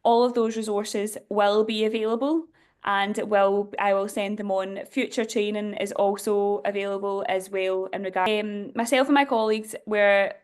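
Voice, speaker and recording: Woman, Glasgow accent, clear resonant voice, chatty workshop presenter, thirties. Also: medium pitch, fairly even intonation, normal speed. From Scotland. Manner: conversational, explanatory, with frequent fillers. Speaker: diocesan youth director.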